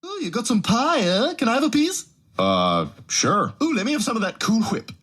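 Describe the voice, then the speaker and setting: A fussy cartoon comedy character with a very husky, unclear voice. The pitch swoops wildly. He leans on breathy H sounds, completely oblivious to how odd it is. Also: huge pitch swings, moderate pace, fairly bright tone, full character voice. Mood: oblivious, insistent. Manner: over-emphasizes the H sound, oblivious. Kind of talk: animated comedy dialogue.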